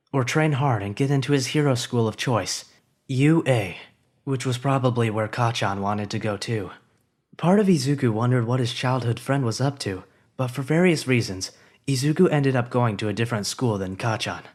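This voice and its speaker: Male narrator for a fan fiction reading, steady and even, middle pitch.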